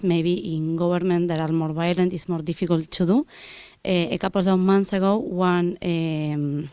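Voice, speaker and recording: Woman from Argentina, audience comment; lowish and clear, searching for words. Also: a little mellow, no echo. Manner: searching for words, many fillers. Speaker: audience member. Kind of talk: spontaneous comment.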